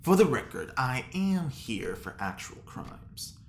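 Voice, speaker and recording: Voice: mid-range voice, sing-song, takes it slowly. A woman playing a flippant, grandiose art-forger convict with wry mock politeness in a sci-fi comedy audio drama. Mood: breezy boasting. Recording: roomy echo, faint background noise.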